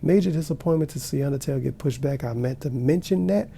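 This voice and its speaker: Sarcastic, gravelly streamer man. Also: medium pitch, fast, dark tone, slight background noise. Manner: sarcastic, profane, mocking. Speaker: commentary streamer. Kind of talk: mocking reaction commentary.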